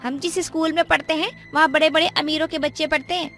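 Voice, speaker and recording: Snotty whiny cartoon daughter, piercing bright voice w some creak, music behind. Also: female voice, high voice, steady tone, quick pace. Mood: scornful. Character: bratty, ungrateful schoolgirl daughter of poor mother. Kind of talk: cartoon voice acting. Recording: music under the voice.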